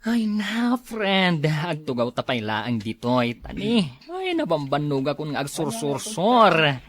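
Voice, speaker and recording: A woman's voice: low-pitched, very animated, takes it slowly, exaggerated voice. An actress playing an inquisitive, chummy female friend in a radio drama, with a Filipino accent.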